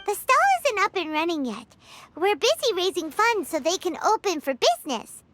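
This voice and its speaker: A tiny, eager girl companion from a video game, with an extremely high, squeaky voice. Her delivery swoops wildly and emphatically. Prominent music plays behind her. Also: sing-song, normal speed. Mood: eager, cheerful. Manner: chirpy, emphatic, refers to herself in third person. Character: small, childlike floating girl sidekick, perky and eager. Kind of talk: video game cutscene acting.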